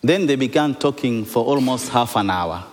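A man's voice: mid-range voice, lively intonation. Man preaching phrase by phrase, echoey hall. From Tanzania. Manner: speaks in short phrases, pausing for the interpreter. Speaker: priest preaching a sermon. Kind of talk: sermon delivered in English with consecutive interpretation. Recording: reverberant hall.

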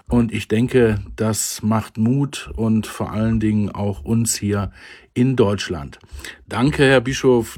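Slow, husky German radio host. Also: male voice, mid-range voice, warm tone. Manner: measured, formal and courteous. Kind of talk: radio interview and presenting.

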